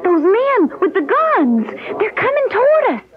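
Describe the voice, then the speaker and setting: A woman's voice: extremely high pitch, wildly swooping pitch, a little slow, exaggerated voice. An actress playing a timid, anxious young woman in a radio drama, worried and protesting. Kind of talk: 1970s radio drama acting. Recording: tinny radio broadcast, music behind.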